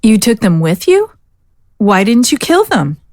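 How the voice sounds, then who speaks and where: A woman's voice: a little high, big pitch swings, measured pace, a little mellow, no room sound, studio quality. A woman playing a righteous, severe swordswoman in a fantasy audio drama, accusing and indignant in manner. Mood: stern, accusing. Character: stern, righteous female swordswoman of a warrior order.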